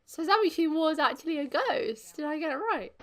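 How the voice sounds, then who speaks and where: A woman's voice: high voice, expressive, brisk, a bit bright, slight background noise. A woman giving casual, amused and giggly reaction commentary as the host of a reaction video.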